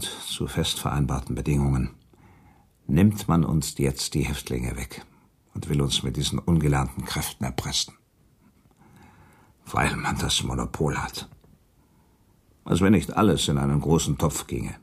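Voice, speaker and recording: An actor playing an aggrieved industrial director reasoning insistently with an old friend, gravelly and husky, in a German radio play. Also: male voice, rumbling low, expressive, moderate pace, pauses often, darkish tone, heavily acted.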